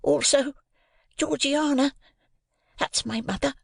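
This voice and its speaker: A scared little boy, way high voice, meek n pleading, storybook, dry close sound. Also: measured pace, vocal fry, a bit bright, no room sound, heavily acted. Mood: timid. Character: small, timid, terrified polite young village boy.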